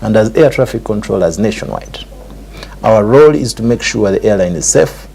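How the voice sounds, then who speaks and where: Gravelly low-voiced Ugandan man. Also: expressive, normal speed, warm tone, slight background noise. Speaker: air traffic controller, interview guest. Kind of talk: conversational interview answers.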